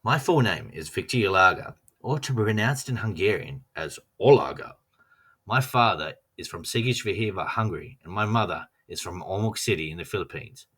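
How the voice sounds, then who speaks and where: An Australian man giving a scripted personal narration as a trainee teacher, reading in an earnest, measured way. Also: deep voice, lively intonation, a bit bright, husky. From Western Australia.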